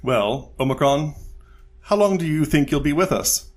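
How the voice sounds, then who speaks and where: An actor playing a skeptical but courteous expedition captain in an audio drama, speaking formally. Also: male voice, a little high, expressive, moderate pace. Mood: puzzled, courteous.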